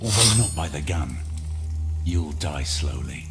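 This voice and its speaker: An actor playing a vengeful, menacing father in a Victorian video game, with a gravelly voice and threatening intensity. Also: rumbling low, lively intonation, a little slow, heavy background noise. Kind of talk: dramatic video game acting. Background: very loud dramatic music.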